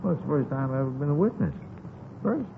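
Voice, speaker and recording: An American actor playing a chatty, folksy newsstand owner who rambles off the point, in 1950s radio drama style. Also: male voice, on the high side, lively intonation, fast, vocal fry. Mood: excitable, rambling. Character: chatty, rambling newsstand owner, a married man witnessing a shooting. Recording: noisy, tinny 1950s radio recording.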